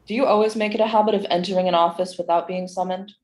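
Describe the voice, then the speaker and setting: A woman's voice: medium pitch, fairly even intonation, fast, a little mellow, close to an everyday voice. A woman playing a cold, commanding female doctor in a staged play reading, clipped, formal and haughty.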